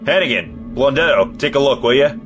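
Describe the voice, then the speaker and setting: Guy doing a gruff ww2 soldier in an audio drama, medium pitch, barking slangy talk, music behind him. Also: expressive, a little fast, lo-fi sound, close to an everyday voice. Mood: hard, dismissive. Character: hard, contemptuous male Allied soldier in wartime. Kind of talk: war audio drama acting.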